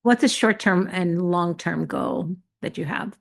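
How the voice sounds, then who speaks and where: A woman's voice: on the low side, lively intonation, unhurried, gravelly. A woman interviewer asking questions and offering brief encouraging interjections.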